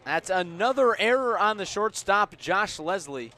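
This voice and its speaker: A man calling baseball live on the radio, measured between pitches and punchier on plays, as an announcer does. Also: expressive, bright, forceful tone. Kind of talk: live radio sports commentary. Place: outdoor baseball ballpark. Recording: outdoor ballpark ambient noise.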